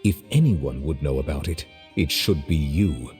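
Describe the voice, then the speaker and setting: An actor playing a grave, courteous chief judge in a video game, speaking in a formal, measured, stern way. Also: male voice, a bass voice, expressive, a little mellow. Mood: probing. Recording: reverberant hall, orchestral music behind. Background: music.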